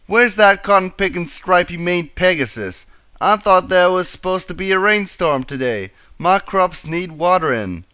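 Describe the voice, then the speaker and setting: A man's voice: high voice, lively intonation, normal speed, bright, forceful tone, clear, resonant tone, no echo, pitched above the performer's own voice. A man voicing a hot-tempered young female farm pony with a brusque, folksy in a storybook reading. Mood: frustrated.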